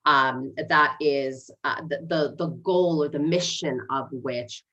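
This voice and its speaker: A woman's voice: low voice, slow pace, a bit bright, lo-fi sound. A woman in her forties who learned English as a second language, introducing herself earnestly as a candidate in a livestream interview. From born in Egypt, raised in Canada and the United States. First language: not English, learned it as a second language. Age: 40s. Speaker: city council candidate.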